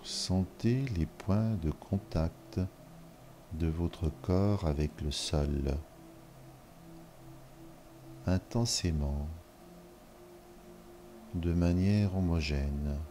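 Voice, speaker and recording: A man leading a guided relaxation meditation in short, measured instructional phrases with long pauses between them. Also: low voice, mellow, a little hiss or hum. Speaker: yoga nidra guide.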